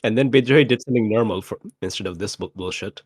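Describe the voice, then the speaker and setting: Male tabletop player, deep voice, casual comic recap, wry mock exasperation, dry close sound. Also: fast, breathy edge, dry recording. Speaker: tabletop role-playing player.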